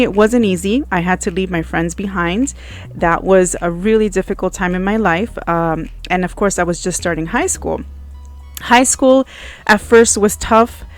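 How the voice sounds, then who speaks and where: A middle-aged American woman hosting a podcast, telling her personal story to listeners in a chatty, conversational way. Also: lowish voice. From New Jersey and Miami, US. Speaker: podcast host, middle school teacher.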